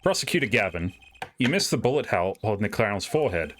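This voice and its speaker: Man playing a sardonic, animated defense lawyer. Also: lowish voice, quick pace, slight background noise. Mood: confident, challenging. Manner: pointed, theatrical questioning. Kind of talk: live fan dub of a video game.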